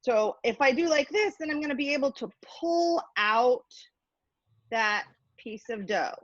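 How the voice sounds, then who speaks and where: A woman's voice: sits high, animated, measured pace, no echo, muffled recording. A woman giving a casual hands-on cooking demonstration, chatty, playful and self-deprecating as she explains each step. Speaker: cooking instructor.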